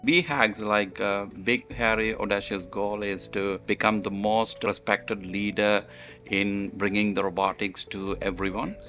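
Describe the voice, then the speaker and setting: A man with a low voice answers interview questions, explaining step by step in a measured way and often pausing on fillers. Light music sits faintly behind him. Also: level tone, bright, forceful tone.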